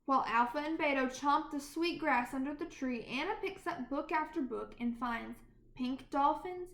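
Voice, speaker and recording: A woman reads a children's picture book aloud in an animated, deliberate storytelling manner. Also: high voice. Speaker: storybook reader. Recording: roomy, echoing sound.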